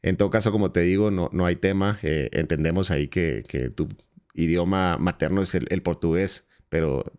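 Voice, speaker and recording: Deep-voiced man hosting a podcast. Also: moderate pace, darkish tone, clean tone. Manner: friendly, wordy, repeats words. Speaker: podcast host. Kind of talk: podcast interview.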